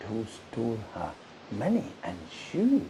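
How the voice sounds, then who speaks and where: A man voicing an elderly father-in-law who explains events slowly. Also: medium pitch, huge pitch swings, warm tone, slight background noise, poor audio. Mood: explaining. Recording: music behind.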